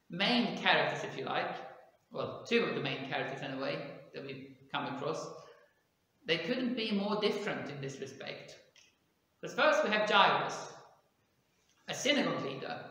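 Bright-voiced pastor in an echoey church. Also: male voice, high-pitched. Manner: conversational, explaining with hedges like "kind of" and "if you like"; gestures with his arms at the pulpit. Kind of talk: sermon. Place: small church, indoors. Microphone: pulpit mic on a stand, far camera.

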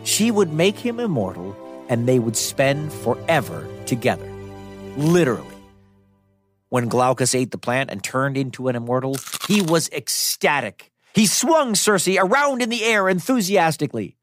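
A man's voice: a little high, sing-song, normal speed, over music. A man narrating a children's myth as storyteller, in a playful, conversational way. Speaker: storyteller host.

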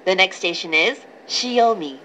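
A woman reading a scripted, pre-recorded train announcement in a formal, clearly enunciated manner. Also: mid-range voice, expressive, slow, fairly bright tone, dull audio. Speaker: English-language train announcer. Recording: recorded aboard a train, clear background noise.

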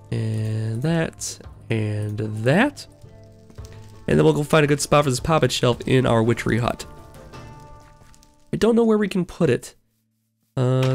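A man's voice: mid-range voice, vocal fry. A man hosting a gaming video, talking casually through his play while getting over a cold, thinking aloud with lots of fillers. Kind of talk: casual let's play commentary.

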